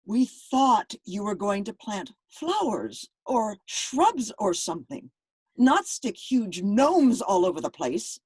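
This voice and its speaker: A woman playing an exasperated, fussy middle-aged wife and mother in a radio play, sharp-tongued and insistent. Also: mid-range voice, very animated, takes it slowly, husky, no echo, full character voice. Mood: irritated.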